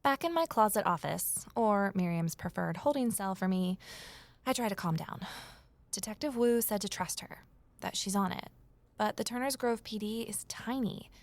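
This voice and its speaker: A woman's voice: medium pitch, normal speed, dry recording, close to an everyday voice. A woman playing an earnest, observant office assistant turned amateur sleuth, narrating and speaking in an audio drama. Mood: frustrated, brooding. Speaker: narrator and lead character. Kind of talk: first-person audio drama narration and dialogue.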